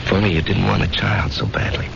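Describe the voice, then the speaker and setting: Weary, gravel-edged haunted veteran. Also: male voice, low-pitched, steady tone, background noise. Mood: hesitant, ashamed. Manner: halting, hesitant, earnest. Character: weary, guilt-ridden married war veteran, haunted and anxious. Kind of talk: 1970s radio drama acting. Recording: dull vintage radio recording, music behind.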